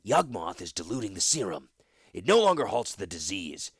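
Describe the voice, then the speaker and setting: A man's voice: sits low, big pitch swings, normal speed, slightly rough, no echo, clean studio sound, full character voice. A man doing Gix, an urgent, bandaged rebel. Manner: urgent, insistent. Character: sick, bandaged male rebel leader, insistent.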